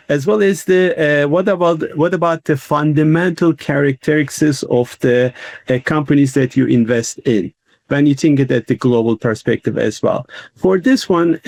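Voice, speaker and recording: A man's voice: fairly high pitch, darkish tone, dry recording. A man moderating a live investor panel, introducing speakers with many fillers and restarts.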